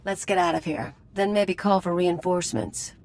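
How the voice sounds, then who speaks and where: A woman's voice: fairly low pitch, steady tone, moderate pace, darkish tone, natural voice. A woman playing a blunt, eager fighter companion in a video game, casual and cocky. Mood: urgent. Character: blunt, eager female mercenary companion, trigger-happy.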